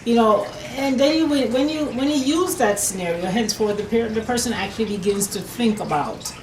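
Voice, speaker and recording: A woman's voice: on the high side, a little fast, echoey room, background noise. A woman from Jamaica, an herbalist, answering interview questions earnestly, often saying "per se" and restarting her sentences. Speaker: herbalist and nutrition business owner.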